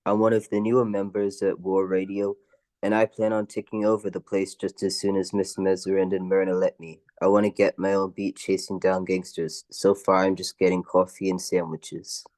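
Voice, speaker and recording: An older man playing a cocky, ambitious junior newsman in a 1940s-style radio comedy, with deadpan delivery. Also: low voice, normal speed, no room sound, lightly acted.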